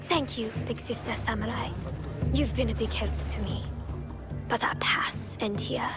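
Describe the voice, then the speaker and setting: A woman playing a sly, defiant young pirate's daughter in a video game, sharp-tongued and playfully sweet. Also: a little high, steady tone, measured pace, loud music behind the voice, lightly acted. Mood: mocking, dismissive. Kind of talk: dramatic game voice acting.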